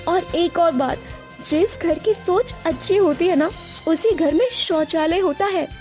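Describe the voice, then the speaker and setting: A woman's voice: extremely high pitch, unhurried, a little mellow, soft background music. A woman voicing an outspoken, earnest young village woman in a cartoon family story. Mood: reproachful. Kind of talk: acted cartoon story dialogue.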